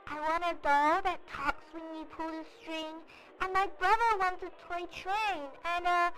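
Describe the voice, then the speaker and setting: A woman voices a small child in a radio skit. The voice is very high, shy and full of hope. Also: flat delivery, moderate pace, poor audio. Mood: shy, hopeful. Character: shy, hopeful small child listing wishes.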